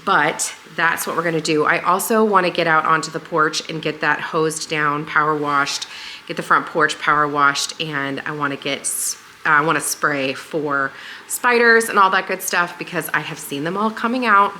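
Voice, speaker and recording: A woman hosting a home vlog, talking casually and a little hoarse, with lots of fillers in a living room. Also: low-pitched, normal speed, sharp tone, slight background noise. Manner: rambling and friendly, with many fillers. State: slightly hoarse. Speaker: home vlogger and channel host.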